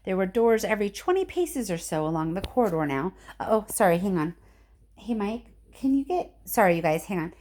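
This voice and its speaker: A woman's voice: medium pitch, very animated, fairly quick, a little mellow, slight background noise. Female storyteller for kids, mid-range voice, informal and friendly, chatty read-aloud delivery. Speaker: reader addressing children. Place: at home. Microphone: cell phone camera.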